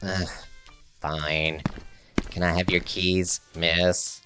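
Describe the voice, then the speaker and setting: A man's voice: low-pitched, level tone, very slow, bright, forceful tone, soft background music, heavily acted. A man playing a grumpy, sarcastic service technician in an audio drama, with a grudging way of talking. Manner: sardonic, grudging. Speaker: garage technician.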